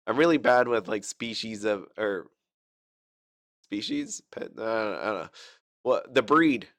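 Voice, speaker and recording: A man's voice: lowish voice, lively intonation, measured pace, fairly bright tone, dry recording, clean studio sound. A sick man rambling through casual gameplay commentary, chatty and self-deprecating, with lots of fillers and restarts. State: sick, fighting a cough. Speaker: gaming channel host.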